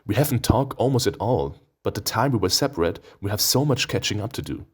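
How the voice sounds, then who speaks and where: A man reading a visual novel aloud, expressive and playful, breaking into casual, profane asides. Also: fairly low pitch, fairly quick, husky. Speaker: let's player. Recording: music behind the voice.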